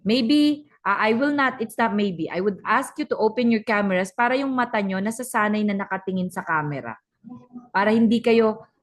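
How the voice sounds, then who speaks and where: A woman with a Filipino accent coaching a class over a video call, brisk and teasing, mixing English and Tagalog. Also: fairly high pitch. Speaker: communication skills trainer. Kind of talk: online class instruction.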